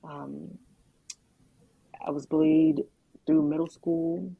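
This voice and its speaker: Deep, gravelly-voiced woman sharing her story. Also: fairly even intonation, dry recording, phone-quality sound. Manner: candid and reflective, with halting restarts and frequent "um" and "like". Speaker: guest sharing her testimony. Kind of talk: candid conversational testimony.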